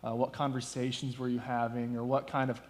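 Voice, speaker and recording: A man's voice: mid-range voice, flat delivery, a little fast. A man moderating a church panel, asking friendly questions. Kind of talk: panel discussion. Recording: echoey hall.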